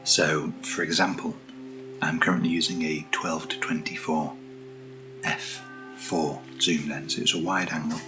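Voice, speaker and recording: A British man speaking softly in an ASMR unboxing style, hushed and often slipping into a whisper, as the host of the video. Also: extremely low pitch, little pitch movement, a little slow, over music, low-quality recording. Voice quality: soft, often dropping to a whisper. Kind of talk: soft-spoken ASMR unboxing.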